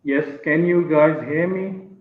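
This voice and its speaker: A man's voice: a little high, steady tone, takes it slowly. A man asking a question over a video call with a shaky internet connection, eager in tone. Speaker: audience member asking questions. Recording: tinny echoey call audio.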